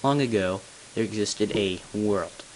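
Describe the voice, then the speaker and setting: A hissy home recording carries the low voice of a tall, solemn bell ringer acted by an amateur man. He narrates long-kept secrets from a video game story. His delivery is grave and deliberate. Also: rough recording. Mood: solemn, explanatory. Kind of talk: scripted character narration, amateur game voice-over.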